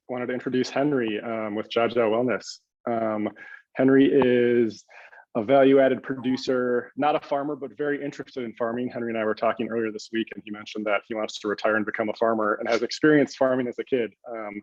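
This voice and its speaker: A man moderates a panel in an informal style. His mid-pitched voice stays level with little melodic movement. The recording is dull and lo-fi. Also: steady tone, a little fast, a little mellow. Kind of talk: informal introduction of a guest.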